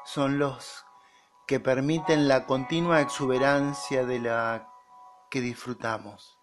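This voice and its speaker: A man with a mid-range voice guides a meditation very slowly. He speaks in short, deliberate phrases and leaves pauses between them. Music plays clearly behind his voice. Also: medium pitch. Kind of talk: slow guided meditation narration.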